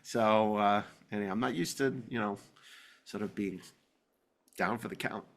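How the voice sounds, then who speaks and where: A man's voice: fairly low pitch, moderate pace, gravelly, a little hiss or hum. A man hosting a podcast in a joking, digressive, casual style, bantering with co-hosts and reading out show notes. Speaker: podcast host.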